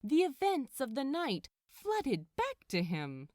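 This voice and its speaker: A woman reading a story aloud as narrator, in an expressive scripted storytelling style. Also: sits high, slow pace, no echo, studio quality. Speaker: fan fiction narrator.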